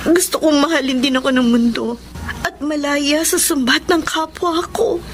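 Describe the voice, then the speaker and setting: High-voiced, earnest young woman. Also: moderate pace, faint music behind. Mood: earnest, pleading. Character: remorseful proud young woman seeking forgiveness. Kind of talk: Filipino radio drama acting. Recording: noisy radio drama recording.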